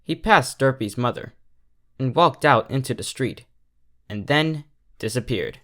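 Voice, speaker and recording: A man's voice: medium pitch, expressive, a little slow, a bit bright. A man reading a story aloud as scripted narration. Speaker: fan fiction reader.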